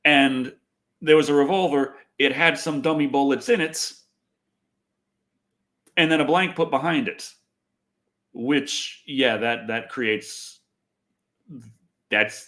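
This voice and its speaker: A man hosting a casual livestream chat, rambling and halting, often repeating his phrases and speaking bluntly. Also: mid-range voice, a bit bright. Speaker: podcast host.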